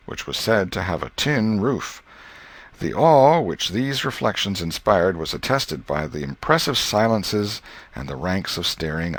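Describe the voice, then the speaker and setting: A man narrating a classic novel as an audiobook, in a measured storytelling delivery with gentle irony. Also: lowish voice, no echo.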